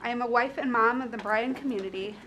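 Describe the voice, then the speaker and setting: Earnest Chicago-accented woman, 30s, high voice, prepared remarks at a podium mic, faint ambience. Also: quick pace, fairly bright tone, muffled recording, slight background noise. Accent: Northern US (Chicago). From Chicago, USA. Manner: earnest, emotional. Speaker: public commenter. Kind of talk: prepared public statement. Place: county courtroom.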